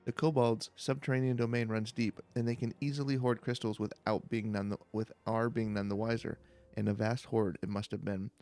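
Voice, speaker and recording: A man reading game dialogue aloud in a relaxed let's play style, now and then tripping over a phrase. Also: fairly low pitch, fairly quick. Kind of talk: reading game dialogue aloud in a calm, slow-paced playthrough.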